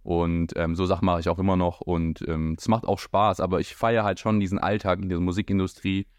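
A man's voice: sits low, little pitch movement, fairly quick, no echo, clean studio sound. A young man, a music video director, talking casually as an interview guest, slangy and loose, with many fillers. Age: young adult.

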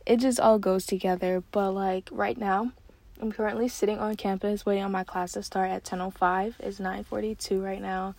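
A nineteen-year-old woman hosting her own podcast, chatting casually and excitedly with lots of fillers from a parked car. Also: medium pitch, normal speed, creaky, no room sound. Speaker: podcast host. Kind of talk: casual solo podcast chat.

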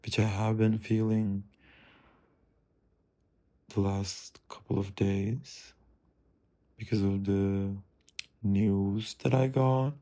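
Man, low voice, very slow, musing self-talk, profane, drifting. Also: level tone, mellow. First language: Turkish. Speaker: streamer. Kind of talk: rambling self-talk monologue.

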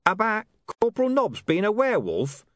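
A high, brash talking dog. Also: male voice, wildly swooping pitch, moderate pace, dry recording, studio quality. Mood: incredulous. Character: scruffy streetwise male talking dog, cocky, sly.

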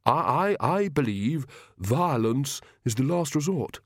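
A meek, weakened king, acted by a male narrator, croaky and hesitant. The timid words come haltingly, pitched a little up, in a dry storybook recording. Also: expressive, dry recording. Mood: weak, uncertain. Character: weak, meek, hesitant mild-mannered king. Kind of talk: audiobook character voice.

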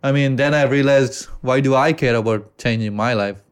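A man with an Indian accent hosting a casual podcast chat, talking loosely with frequent swearing and halting restarts. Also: medium pitch, smooth. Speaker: podcast host.

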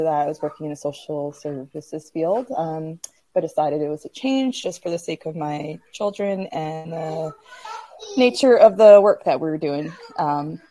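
A woman's voice: lowish voice, warm tone. A woman from Canada, born in Kenya, speaking casually as a guest in a conversational interview. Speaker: podcast guest.

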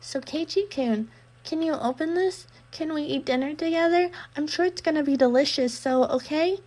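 A woman's voice: high-pitched, normal speed, a little mellow, pitched above the performer's own voice, lightly acted. Woman voicing a sweetly menacing teen girl. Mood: eerie sweet. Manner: singsong, then pleading in pain. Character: sweet creepy teenage girl, clingy and menacing.

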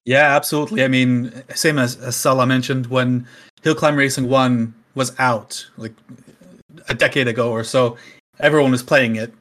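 A man's voice: medium pitch, fairly even intonation, normal speed, a bit bright. Easygoing Canadian man chatting. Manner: easygoing, chatty, laughs often, many fillers. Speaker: game company community staff guest. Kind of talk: casual podcast chat.

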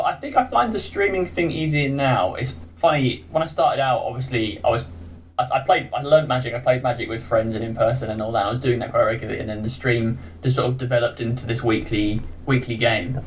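A man's voice: medium pitch, a little fast, a little hiss or hum, low-quality recording. An English man, a podcast guest who streams games, talking in a chatty, self-deprecating way and laughing often. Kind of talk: casual chat.

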